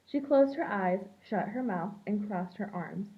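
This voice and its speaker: A woman reading a children's picture book aloud in an expressive storytelling manner, as a preschool teacher does for young children. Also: medium pitch, measured pace. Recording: dull lo-fi sound.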